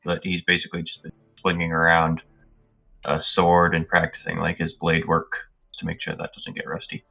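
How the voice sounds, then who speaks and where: A man playing a tabletop roleplay game, casually describing his character in halting phrases. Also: low-pitched, completely flat delivery, creaky. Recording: tinny phone-like line, music underneath. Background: music.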